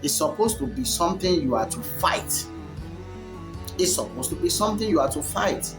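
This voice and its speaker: A man's voice: a little high, animated, normal speed, music in the background. A man, a Yoruba speaker, delivering a rambling, preachy monologue full of fillers and restarts. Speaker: commentator. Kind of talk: unscripted monologue to camera.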